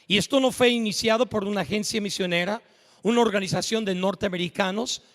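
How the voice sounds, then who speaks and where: High-voiced preacher in a reverberant church. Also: male voice, brisk. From United States. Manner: emphatic, rising to shouts at peaks. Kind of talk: sermon. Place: church sanctuary.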